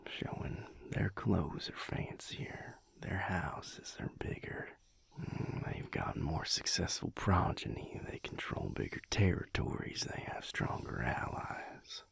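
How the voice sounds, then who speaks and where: Man acting a gravelly, whispery Southern vampire cowboy. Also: deep voice, sing-song, no echo, exaggerated voice. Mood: relaxed, sardonic. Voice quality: breathy, gravelly, half-whispered. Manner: slow, drawling, wry. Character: surly, teasing male vampire cowboy lover, affectionate. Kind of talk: intimate audio roleplay, murmured close to the listener.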